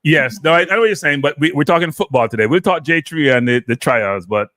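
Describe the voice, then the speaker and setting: A man's voice: a little high, expressive, fast talker, fairly bright tone, no echo. A man from Jamaica hosting a live sports talk show, steering a caller back on topic. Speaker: host.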